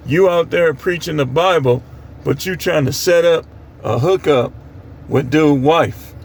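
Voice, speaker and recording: A man's voice: fairly high pitch, unhurried, slight background noise. An American man preaching informally on scripture in a plain-spoken, admonishing way, repeating phrases for emphasis. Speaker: street preacher.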